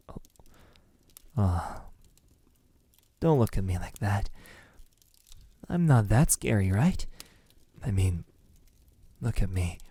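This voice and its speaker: A man playing a centuries-old vampire, lovesick and possessive, in a soft, intimate ASMR roleplay with halting, tender delivery that often drops to a whisper. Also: on the low side, exaggerated voice. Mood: soothing, pleading. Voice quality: breathy, soft, husky. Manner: slow, hesitant, halting, tender.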